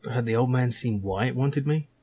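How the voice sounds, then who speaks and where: A man's voice: mid-range voice, fairly quick, warm tone, breathy edge, lightly acted. Young male survivor narrator, read by a man, mid-range voice, reflective comic-book storytelling with wry touches.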